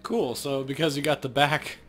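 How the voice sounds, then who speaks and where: Man, let's play co-host, middle pitch, casual joking chat. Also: steady tone, normal speed, fairly bright tone, a little hiss or hum.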